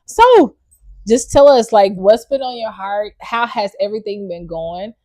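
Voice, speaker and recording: An enthusiastic woman hosts a faith-centered podcast and cheers her guest on. Her voice sits in a middle pitch and is recorded dry and close, with no room sound. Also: lively intonation, measured pace, dark tone, no room sound. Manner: enthusiastic, encouraging. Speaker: podcast host. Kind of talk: casual faith podcast chat.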